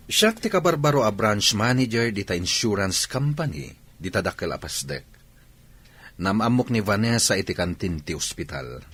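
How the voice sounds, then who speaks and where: A man playing a scheming, smitten insurance manager in a radio drama, with animated delivery. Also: lowish voice, normal speed, breathy edge. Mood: prying.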